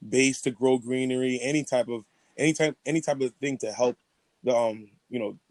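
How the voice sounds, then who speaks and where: Young male podcast co-host, rambling and playful, medium pitch, dry sound. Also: steady tone, fairly quick, a little mellow, no echo. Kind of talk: casual chat.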